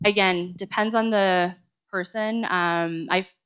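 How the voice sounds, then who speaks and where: A woman on a conference panel speaks in a low-pitched, smooth voice. Her delivery stays even and flat. She hedges casually with fillers. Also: slow. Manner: casual, hedging with um and like. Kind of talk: panel discussion.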